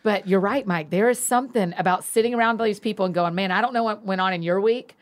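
A woman hosting a podcast, lively and talkative in casual chat. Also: medium pitch, fast. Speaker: podcast host.

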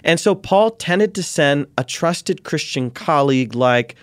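A man speaking as a guest scholar in an interview, explaining in an animated way and acting out questions and quotes. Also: on the high side, unhurried, no echo.

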